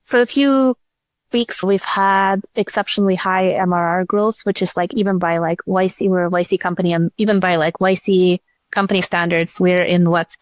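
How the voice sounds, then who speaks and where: A woman's voice: lowish voice, fairly even intonation, normal speed, no echo. Woman startup boss chatting in an interview, restarts sentences a lot, really dry sound. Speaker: startup CEO, interview guest. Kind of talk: casual interview answers, anecdotal.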